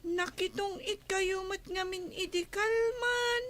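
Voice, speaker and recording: A woman's voice: very high voice, slow, fairly bright tone, exaggerated voice. A woman voicing a pleading, whiny young child with a northern Philippine accent in a comedy radio drama. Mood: whining.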